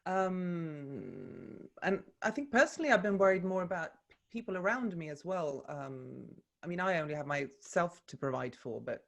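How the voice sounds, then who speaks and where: Low-voiced Swedish woman, chatty and thoughtful. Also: big pitch swings, normal speed, creaky. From Sweden. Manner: thoughtful, with frequent ums and restarts. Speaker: actor and voice coach, interview guest. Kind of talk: relaxed conversational interview.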